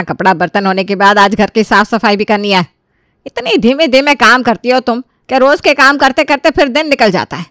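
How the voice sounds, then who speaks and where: A woman's voice: fairly high pitch, fairly bright tone, clean tone, dry recording, studio quality, close to an everyday voice. A woman voicing a bossy, sarcastic older mother-in-law who scolds and nags in a dramatised story.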